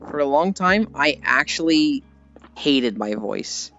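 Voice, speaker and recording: A man's voice: fairly high pitch, lively intonation, takes it slowly, creaky, fairly bright tone. An American man livestreaming in a casual, rambling, self-deprecating way, swearing casually as he talks to viewers. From United States. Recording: slightly dull audio with music behind. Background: background music.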